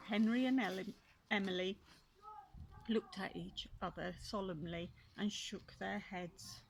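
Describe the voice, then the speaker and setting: An older woman reading a children's story aloud, expressively, with gently chatty asides to young listeners. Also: medium pitch, steady tone, unhurried. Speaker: grandmother reading a children's book. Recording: clear background noise and clicks.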